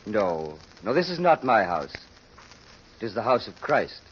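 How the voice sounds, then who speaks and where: An actor in an old radio drama playing a calm, kindly clergyman who speaks gently and courteously. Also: fairly low pitch, measured pace. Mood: calm, welcoming. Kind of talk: 1930s radio drama acting. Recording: very noisy, muffled old radio recording.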